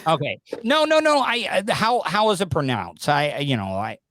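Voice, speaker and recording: A man in his forties casually interviewing as a podcast host, rambling and halting, close on a studio microphone. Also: fairly high pitch, sing-song, fairly bright tone, no room sound. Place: small home studio with acoustic foam. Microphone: close studio microphone on a boom arm.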